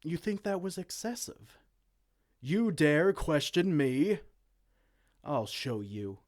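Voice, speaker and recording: A man playing a vain, silly, soft-hearted evil king in a comedic fantasy roleplay, pompous and theatrical but slipping into casual dorkiness. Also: fairly high pitch, sing-song, slow pace. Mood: indignant. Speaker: audio roleplay performer.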